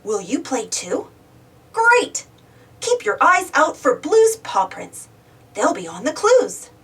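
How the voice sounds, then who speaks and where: Casting: cheerful young kids' show host, acted out by a woman, high-pitched and highly animated, sing-song storybook delivery, unhurried. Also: far from the mic, exaggerated voice. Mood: curious, encouraging. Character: Joe, cheerful young man hosting a preschool show. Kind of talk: children's storybook read-aloud.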